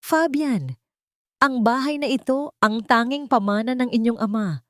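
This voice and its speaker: A woman narrator plays a frail elderly mother in an audio story. She is weary and guarded, pleading in a raised pitch. The sound is close and dry. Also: measured pace, fairly bright tone, dry recording. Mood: weak pleading. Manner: faint, wavering pleading.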